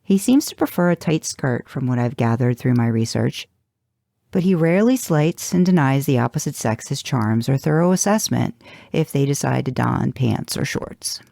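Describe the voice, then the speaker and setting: A woman narrates first-person fiction in a dark, relaxed voice with a gravelly creak. Her delivery is wry and confiding, expressive like a storyteller, and the sound is close and dry. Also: very deep voice, normal speed, no echo. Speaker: podcast host reading fan fiction.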